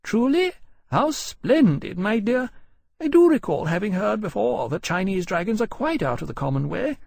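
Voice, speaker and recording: A man's voice: sits high, sing-song, moderate pace, vocal fry, darkish tone, dry recording, studio quality, voice pushed up, exaggerated voice. A man voicing an older aristocratic mother, genteel, gracious and mannered, in a storybook narration.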